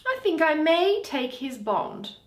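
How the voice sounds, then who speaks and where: A woman's voice: high-pitched, big pitch swings, takes it slowly, voice pushed up, exaggerated voice. A woman voicing a shrewd, bitter male moneylender in a Shakespeare play, drawing out and weighing each phrase. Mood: musing, sly. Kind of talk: dramatic Shakespeare reading. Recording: roomy echo.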